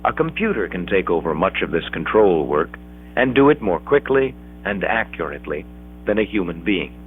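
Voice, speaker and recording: A middle-aged American man reading scripted 1970s educational film narration in a measured, explanatory way. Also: lowish voice, slight background noise. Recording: dull, dated 16mm film soundtrack.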